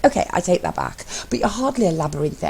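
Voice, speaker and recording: Woman voicing a sharp-tongued, exasperated young husband, creaky. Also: fairly low pitch, huge pitch swings, fast talker, a little hiss or hum, pitched above the performer's own voice, exaggerated voice. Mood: challenging. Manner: exasperated, cutting, foul-mouthed.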